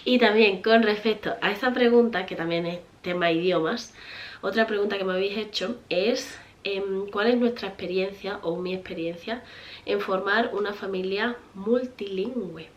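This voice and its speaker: A woman's voice: medium pitch, normal speed, highs cut off. A woman from Spain in her thirties with a Spanish accent, chatting casually to her viewers and often laughing. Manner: chatty, rambling, many fillers and restarts, laughs often. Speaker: vlogger, mother. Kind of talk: casual chat answering viewer questions.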